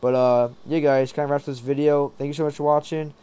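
Higher-pitched young man, rambling. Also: little pitch movement, warm tone, smooth. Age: young adult. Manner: rambling, halting, repeats himself. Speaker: tech tutorial presenter. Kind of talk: casual app tutorial.

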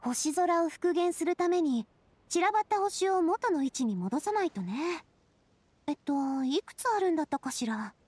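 A woman's voice: very high-pitched, lively intonation, moderate pace, darkish tone. A woman voicing a confident, plucky young woman adventurer in an anime-style game, assured and persuasive. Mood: purposeful.

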